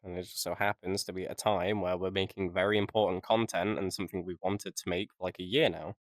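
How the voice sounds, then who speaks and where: A man's voice: sits low, steady tone, fast, no room sound, studio quality. A man co-hosting a casual podcast, teasing and needling as he chats. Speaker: podcast co-host.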